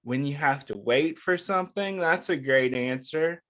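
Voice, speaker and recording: A man's voice: a little high, a bit bright. A man hosting an interactive read-aloud for young children, speaking encouragingly and putting questions to his listeners. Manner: encouraging, addresses listeners with questions and pauses for answers. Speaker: children's story time host.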